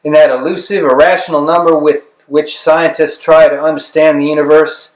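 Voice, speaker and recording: A man with a slightly high voice narrates a literary story in a clearly roomy space. He reads expressively and deliberately, leaving dramatic pauses between phrases. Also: flat delivery. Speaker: audiobook reader. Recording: roomy, echoing room sound.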